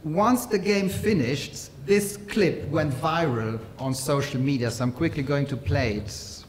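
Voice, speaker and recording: A middle-aged man with an Austrian accent giving a workshop lecture, measured and pausing often with fillers. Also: fairly high pitch, slight background noise.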